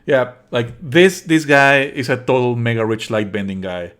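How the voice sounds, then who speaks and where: A man's voice: mid-range voice, normal speed, a bit bright, clear, resonant tone. A man doing live let's play commentary as a streamer, sardonic and indignant, swearing freely and at times reading game lines aloud.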